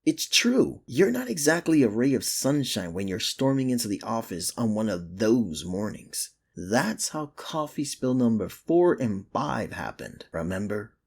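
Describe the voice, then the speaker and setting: A man's voice: medium pitch, very animated, unhurried, creaky, few pauses, husky, heavily acted. A man playing a sardonic but secretly caring office rival in an intimate ASMR romance roleplay, with dry, earnest delivery. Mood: teasing. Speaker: ASMR voice actor.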